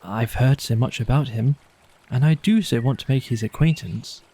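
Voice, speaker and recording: Man as a polite, mellow little mole. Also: mid-range voice, normal speed, husky. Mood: eager, earnest. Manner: earnest, soothing, agreeable. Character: polite, candid, cautious little male mole. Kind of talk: storybook character voice in an audio play.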